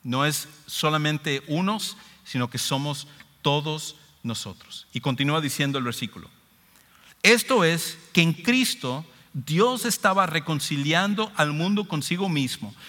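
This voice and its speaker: A male preacher with a Latin American Spanish accent delivers a sermon in a bright, forceful voice that sits slightly high. He is emphatic, restating key phrases as he explains scripture to a congregation. Long reverb from a large hall trails his words. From Ecuador.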